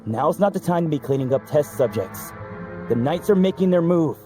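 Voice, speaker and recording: Game role: cautious, fretful young researcher , male actor, high-pitched and soft-toned. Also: expressive, normal speed, soft background music, lightly acted. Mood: worried. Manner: worried, hesitant. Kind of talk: scripted video game acting.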